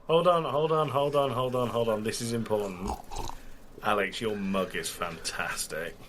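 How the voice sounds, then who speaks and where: A man's voice: fairly low pitch, normal speed, background noise. A man co-hosting a casual podcast, sweary and easily sidetracked into anecdotes, getting over a cold. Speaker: podcast co-host. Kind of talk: casual chat, telling anecdotes.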